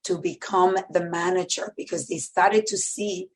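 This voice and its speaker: A woman's voice: fairly low pitch, little pitch movement, normal speed. Middle-aged woman with a Dominican Spanish accent. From Dominican Republic. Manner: emphatic, repeats phrases. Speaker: podcast guest, medical center executive. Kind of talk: personal storytelling in an interview.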